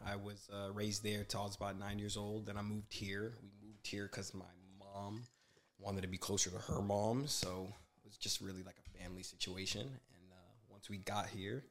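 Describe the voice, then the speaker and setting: A man's voice: on the low side, flat delivery, moderate pace, a bit bright. A man in his twenties, a guest on a casual podcast, talking in a laid-back, slangy way and growing emphatic when he makes a point. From USA. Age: 20s.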